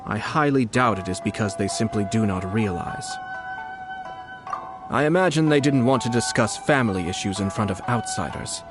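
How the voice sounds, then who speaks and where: An actor playing a composed, polite young nobleman in a video game, shrewd and quietly mischievous, speaking in measured phrases. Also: on the low side, animated, over music, lightly acted. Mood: serious, thoughtful. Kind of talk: video game character acting.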